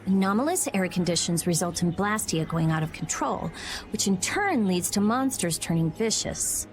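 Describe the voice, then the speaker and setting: A female actor voicing a blunt, brainy teenage girl researcher in a video game, brisk and insistent. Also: lowish voice, lively intonation, faint music behind, close to an everyday voice. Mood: pondering. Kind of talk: English video game voice acting.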